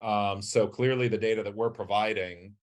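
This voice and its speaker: A middle-aged man giving measured, articulate explanatory answers as an executive guest in a business interview. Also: fairly low pitch, steady tone. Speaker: company chief executive, podcast guest.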